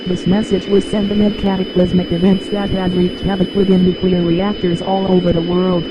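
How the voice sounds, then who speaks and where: A man's voice: sits high, completely flat delivery, moderate pace, noisy background, close to an everyday voice. A man playing a grave scientific official delivering a formal scripted emergency address to the world. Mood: grave, urgent. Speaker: official emergency message reader.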